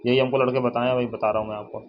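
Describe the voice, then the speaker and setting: A young man giving a casual informational update to viewers, halting and often repeating himself as he restates figures. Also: medium pitch, little pitch movement. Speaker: exam update vlogger. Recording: dull lo-fi, faint noise, birdsong.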